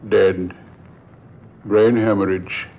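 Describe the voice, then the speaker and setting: Slow, solemn doctor, tinny radio. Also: male voice, low-pitched, little pitch movement, exaggerated voice. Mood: grave, soothing. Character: grave, solicitous male family doctor. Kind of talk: old radio drama acting. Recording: thin, phone-like old radio recording.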